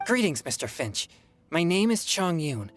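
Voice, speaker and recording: A courteous young fellow in a fantasy game. He is earnest and a little hesitant, with lively intonation over faint music. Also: on the high side, normal speed. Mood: polite, earnest. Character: polite, earnest young man. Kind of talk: video game voice acting.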